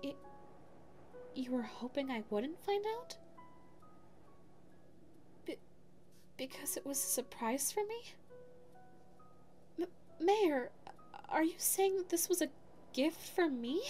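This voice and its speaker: A woman's voice: high voice, lively intonation, slow pace, long pauses, warm tone, soft background music. A woman voicing a sweet, flustered, lovestruck young female dog secretary in an ASMR audio roleplay, stammering and self-effacing. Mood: flustered, astonished. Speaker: voice actor.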